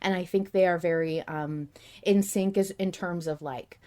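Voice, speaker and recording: Emphatic, excitable woman chatting. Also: lowish voice, moderate pace. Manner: emphatic, excitable, repeats and restarts phrases. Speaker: podcast host. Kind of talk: casual fan discussion, ranting.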